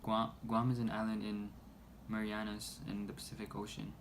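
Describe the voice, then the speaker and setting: A man reading a scripted news report aloud in a halting way, stumbling over words. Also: on the low side, completely flat delivery, creaky. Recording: noisy amateur recording.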